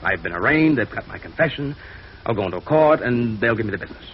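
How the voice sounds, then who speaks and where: A man acting a bitter, stubborn, defensive jailed embezzler in a 1950s radio noir drama, agitated and often halting. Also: mid-range voice, animated, close to an everyday voice. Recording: muffled, noisy old radio recording.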